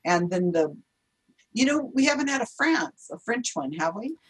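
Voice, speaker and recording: A woman in her fifties with an American accent, hosting a casual interview over a video call from home, chatty and quick to laugh. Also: on the high side, sing-song, a crowd in the background, rough recording. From United States. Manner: chatty, laughs easily, trails off mid-sentence. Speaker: podcast host. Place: home room with shelves and curtains. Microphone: video call webcam mic.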